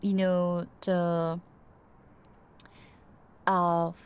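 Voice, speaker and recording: Slow, hesitant Czech-accented woman streamer. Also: lowish voice, monotone, clear, resonant tone. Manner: hesitant, full of fillers and restarts. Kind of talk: casual chat while gaming.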